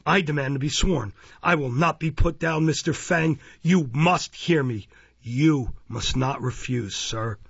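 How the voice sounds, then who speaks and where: An elderly, humble bookstall keeper from a classic novel , voiced by a male reader, speaks with urgent determination. His voice is husky and creaky, earnest and insistent, on a slightly muffled recording. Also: medium pitch, normal speed, no room sound. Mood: defiant. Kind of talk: dramatised audiobook reading of a classic novel.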